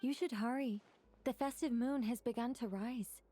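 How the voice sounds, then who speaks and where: A woman's voice: on the high side, moderate pace, dark tone, soft background music, close to an everyday voice. A woman voicing a knowing angel mage in a video game, chatty and explanatory while trying to stay solemn. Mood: urging. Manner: explanatory, rambling, self-correcting.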